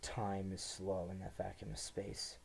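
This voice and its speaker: A young man giving a somber dramatic reading of first-person fiction, his phrases sometimes dropping to a hush. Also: low-pitched, completely flat delivery, unhurried, vocal fry, breathy edge. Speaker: student reader.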